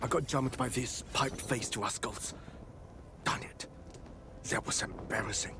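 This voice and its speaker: A man's voice: mid-range voice, measured pace, noisy background, natural voice. An actor playing a sheepish, mild-mannered male crewman in a video game, colloquial and self-deprecating. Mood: sheepish, grateful.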